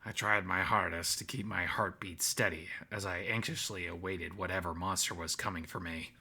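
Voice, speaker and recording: A man voicing a tense, determined male bat archer, quietly narrating his thoughts in a visual novel. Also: deep voice, fairly even intonation, normal speed, slightly rough, full character voice. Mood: anxious, determined.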